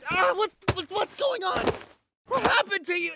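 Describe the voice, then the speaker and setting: A young man acting an anxious, devoted boyfriend in a roleplay audio, halting and stammering as he pleads. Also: very high voice, gravelly, very noisy. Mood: panicked, pleading.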